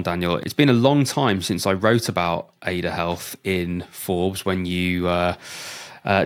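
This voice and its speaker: A man with a notably deep voice hosting a podcast. He talks in a chatty, upbeat way, full of ums and restarts, as he introduces and welcomes a guest. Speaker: podcast host.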